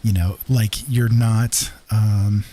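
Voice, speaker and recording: A man hosting a livestream, chatting casually in a halting, low-key, easygoing way. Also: little pitch movement, dark tone. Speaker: livestream host. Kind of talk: casual rambling livestream chat.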